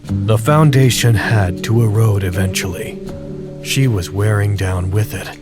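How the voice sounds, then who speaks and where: A man narrating a literary drama podcast as a solemn, reflective storyteller, speaking in a deliberate way. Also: on the low side, natural voice. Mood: grave, resolute. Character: solemn, reflective male storyteller of a bleak tale. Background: music with guitar.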